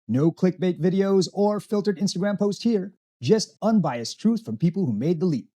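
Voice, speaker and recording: A man's voice: high-pitched, lively intonation, moderate pace, dark tone. A man hosting an expat channel, giving scripted, direct advice to his viewers about living abroad. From tropical island. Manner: advisory, direct address to viewers. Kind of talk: scripted talking-head explainer.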